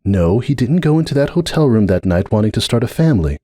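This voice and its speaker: A man's voice: fairly low pitch, very animated, fast talker, mellow, no echo, clean studio sound, pitched above the performer's own voice. A man voicing an earnest female cartoon pony who argues her case insistently in a dramatic reading. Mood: impassioned. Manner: insistent, argumentative, emphatic.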